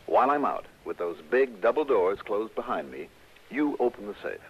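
An actor with an American accent playing a confident, courteous insurance investigator who narrates a 1960s radio detective drama in a measured, probing way. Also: male voice, on the low side, very animated, husky, exaggerated voice. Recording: dull, lo-fi old radio recording.

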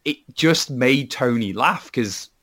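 A man's voice: mid-range voice, animated, takes it slowly, fairly bright tone. A Welsh man, a podcast co-host, chatting casually about football and rambling with many restarts. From Wales. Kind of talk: casual chat.

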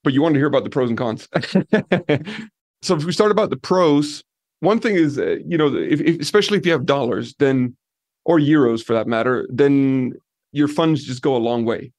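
A man from Denmark talks through the practical side of renting out and managing property. His voice has a gravelly edge, and the sound is dry and close, with no room echo. He lays out points calmly and backs them with examples. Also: medium pitch, normal speed. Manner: relaxed, practical, explains with examples. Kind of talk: podcast interview.

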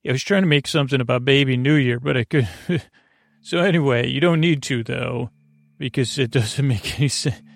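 Man, gravelly creaky voice, close dry sound, soothing mumbled bedtime rambling, halting, meandering. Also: medium pitch, no echo. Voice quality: mumbling, mushy-mouthed. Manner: halting, filler-heavy, trails off and restarts. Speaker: podcast host. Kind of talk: rambling bedtime sleep podcast monologue.

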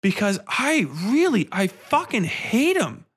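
A man's voice: very high-pitched, very animated, slow pace, fairly bright tone, husky. A man hosting a casual podcast, ranting bluntly and profanely in an exasperated, complaining way. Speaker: podcast host.

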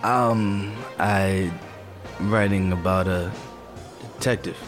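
A man's voice: sits low, fairly even intonation, slow, soft background music. An actor playing a mild, reassuring male partner with a slightly hesitant manner in a naturalistic audio drama. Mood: bashful. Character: gentle, supportive male partner, bashful aspiring writer.

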